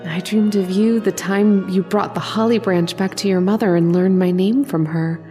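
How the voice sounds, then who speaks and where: A woman plays a young dream sweetheart in an old-time radio horror play. She is adoring and possessive, coaxing in an intimate, mid-pitched voice over soft background music. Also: fairly even intonation, moderate pace, close to an everyday voice. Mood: adoring, wistful.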